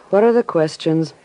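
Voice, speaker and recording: A woman's voice: deep voice, dark tone, low-quality recording. An actress playing a cool, reluctant young woman in an old radio detective drama. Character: guarded, curt young woman, gangster's girlfriend.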